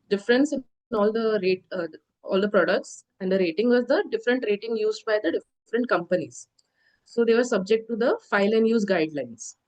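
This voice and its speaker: A woman delivers an online lecture over a call, her voice nasal and stuffy from a cold. She explains step by step at a steady pace, pausing often on little fillers. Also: mid-range voice, rough recording. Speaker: insurance lecturer. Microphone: video call.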